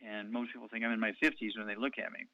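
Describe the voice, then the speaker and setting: A man's voice: fairly low pitch, level tone, racing, no room sound, narrow, tinny audio. A man in his eighties hosting a call-in radio show, talking in a rambling way with many ums and uhs. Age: 80s.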